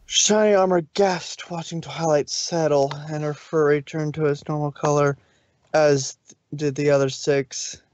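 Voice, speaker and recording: A man's voice: fairly high pitch, steady tone, a little slow, darkish tone, highs cut off. A man reading a story aloud as amateur narrator, sometimes stumbling over the words.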